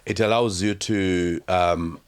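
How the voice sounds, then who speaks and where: A young Ugandan-accented man with a notably low, deep voice speaks as an interview guest. He tells his story slowly and reflectively, pausing often and leaning on fillers as he searches for words. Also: steady tone, clear, resonant tone. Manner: halting, reflective, heavy with fillers.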